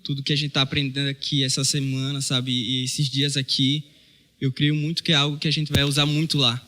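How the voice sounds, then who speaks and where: A man with a Brazilian Portuguese accent, a mission trainee talking earnestly on a podcast, often pausing and restarting his sentences. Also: mid-range voice, level tone, bright, forceful tone. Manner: earnest, hesitant with many fillers and restarts. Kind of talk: casual podcast chat.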